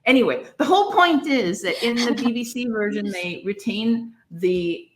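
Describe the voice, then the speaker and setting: A woman's voice: on the high side, expressive, moderate pace, slight background noise. Emphatic middle-aged woman, casual literary talk. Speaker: podcast co-host.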